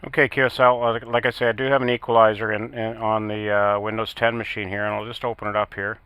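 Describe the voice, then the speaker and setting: A man working as an amateur radio operator, talking in a halting, matter-of-fact way in a casual technical on-air chat. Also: fairly low pitch, level tone, noisy background.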